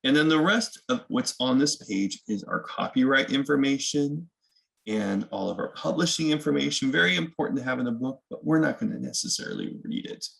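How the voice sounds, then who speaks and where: A man's voice: medium pitch, expressive, a little fast, gravelly, fairly bright tone. A man hosting a children's picture book read-aloud, mixing story narration with casual explanatory asides.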